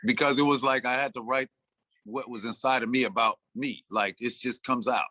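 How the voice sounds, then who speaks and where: A man in his sixties, an interview guest, telling stories in a loose, animated way and acting out his own lines. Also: mid-range voice, normal speed, no room sound. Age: 60s. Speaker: interview guest, rapper and producer. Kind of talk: casual interview talk.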